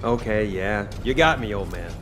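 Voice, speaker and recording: A man's voice: fairly low pitch, normal speed, background noise, natural voice. An actor playing a cocky, exasperated young male soldier in a video game, brash and teasing. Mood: casual, teasing.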